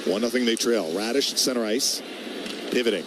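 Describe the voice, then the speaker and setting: A man calling live hockey play-by-play on the radio, naming each move in clipped, rapid-fire phrases. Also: medium pitch, noisy background. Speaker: play-by-play announcer.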